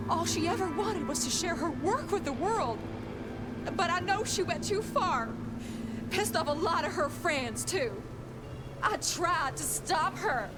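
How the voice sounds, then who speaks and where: An actress playing a devoted, theatrical Southern belle socialite in a video game, with an American Southern accent and an emotive, folksy way of talking. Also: female voice, high voice. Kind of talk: dramatic video game voice acting. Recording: music loud under the voice.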